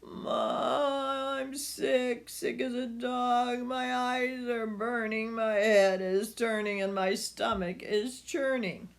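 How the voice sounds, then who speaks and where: A woman's voice: fairly high pitch, fairly even intonation, takes it slowly, creaky, few pauses, pitched above the performer's own voice. A woman reading a storybook as a sick, plaintive grown son who complains to his mother in a sing-song way. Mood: sick, pleading.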